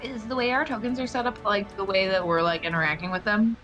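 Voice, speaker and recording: A woman's voice: mid-range voice, fairly even intonation, fast, fairly bright tone, soft background music. A woman playing a tabletop roleplaying game, chatting casually as a player.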